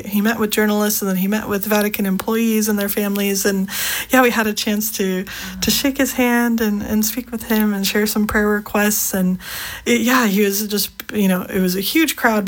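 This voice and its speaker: A woman's voice: mid-range voice, low-quality recording. Woman reporter, conversational. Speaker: Vatican news reporter, interview guest. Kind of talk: conversational interview, personal anecdotes.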